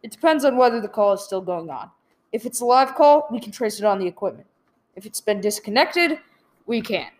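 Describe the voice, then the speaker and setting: A woman playing a polite, procedural chief telephone operator in a radio play, measured and a little hesitant. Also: medium pitch, expressive, lightly acted. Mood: matter-of-fact.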